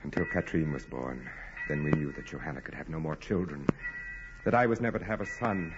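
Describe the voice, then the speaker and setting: An actor playing a wealthy, commanding aristocrat, formal, grave and insistent, in 1940s radio drama style. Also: male voice, very deep voice, fairly quick. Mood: brooding. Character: wealthy aristocratic landowner, commanding, brooding, intense suitor. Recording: muffled, noisy old radio broadcast.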